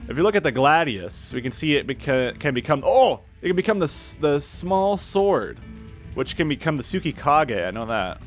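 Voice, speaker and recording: A man's voice: fairly high pitch, very animated, normal speed. A man giving casual, rambling, self-deprecating commentary while playing a video game. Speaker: gaming video host. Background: music.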